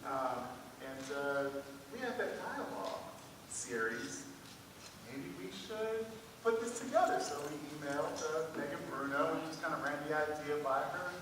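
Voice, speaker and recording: A man's voice: fairly high pitch, moderate pace. A man co-hosting a panel as a school counselor, introducing it to an audience in an informal, loosely phrased, hesitant way. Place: large echoing hall at a public event. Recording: echoey hall, distant mic, clear noise.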